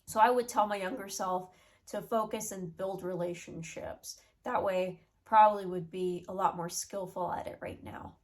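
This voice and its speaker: A woman's voice: medium pitch, steady tone, normal speed. Nasal, sick young woman vlogging. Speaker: YouTuber. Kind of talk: casual talking-head vlog advice.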